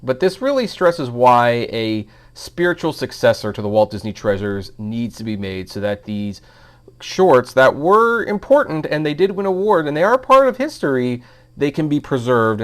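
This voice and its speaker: A man's voice: medium pitch, sing-song, normal speed. A man hosting a film review, talking informally and giving his opinions in a conversational commentary style.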